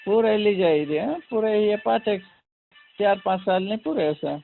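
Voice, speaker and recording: A man with a creaky voice talking casually on a phone call in a rambling, repetitive way. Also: high-pitched, moderate pace, soft background music. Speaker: prank call participant. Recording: narrow phone line with faint noise.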